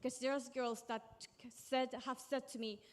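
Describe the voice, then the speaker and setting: A teenage girl from Chile speaks on a conference stage, her voice sitting a little high and carrying a long echo through a big hall. She talks with fiery emphasis and repeats lines to drive each point home. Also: little pitch movement, unhurried. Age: teens. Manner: passionate, emphatic, repeats phrases for rhythm. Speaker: youth activist panelist. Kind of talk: public panel conversation on stage.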